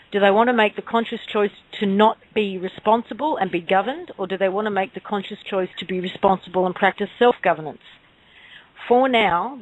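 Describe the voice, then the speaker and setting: A woman's voice: mid-range voice, dry recording, rough recording. A woman speaking as a guest on a talk show, earnestly explaining and answering questions. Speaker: radio show guest, activist.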